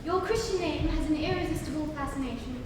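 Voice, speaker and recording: A grandly affected young lady of society acted by a woman, very high in pitch and formally romantic. She is heard at a distance in an echoey hall with background noise. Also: fairly quick, lightly acted. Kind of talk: stage dramatic reading of a period comedy.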